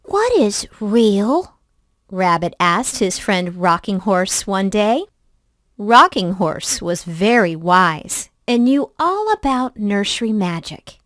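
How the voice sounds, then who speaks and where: A woman reading a children's story aloud in an expressive, deliberate storybook manner. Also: mid-range voice, dry recording, studio quality.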